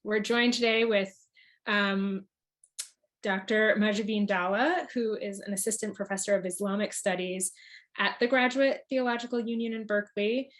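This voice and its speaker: A woman with a medium-pitched voice hosts a panel event. She speaks in a formal introductory style, as one presenting guests and projects to listeners. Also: fairly even intonation, normal speed, a bit bright. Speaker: artist co-hosting a panel event.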